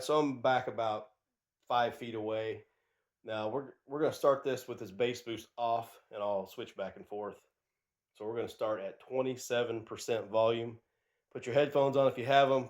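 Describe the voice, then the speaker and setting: A man's voice: mid-range voice, steady tone, moderate pace. A man doing a casual product review, congested and nasal from a cold, talking in a loose, rambling way with frequent restarts.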